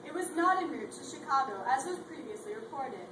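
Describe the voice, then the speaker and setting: A young woman acting as a formal TV news anchor delivering bulletins, in a high voice. The sound is echoey and distant, with steady noise. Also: a little fast. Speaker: readers theatre performer. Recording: echoey hall, distant, noisy.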